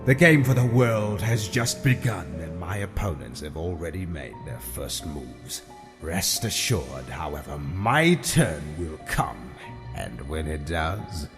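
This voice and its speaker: A man's voice: very deep voice, very animated, long reverb tail, over music, heavily acted. A man playing the Rat King, a menacing, theatrical ancient immortal who speaks in grandiose riddles in a comic-book audio drama. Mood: grand, foreboding. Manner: slow, grandiose, riddling speech.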